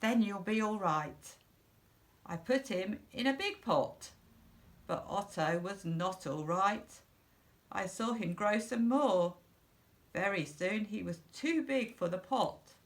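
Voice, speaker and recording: Middle-aged Englishwoman, creaky voice, unhurried and expressive children's storybook reader. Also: medium pitch. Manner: slow, deliberate, simple phrasing for young children. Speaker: school storyteller.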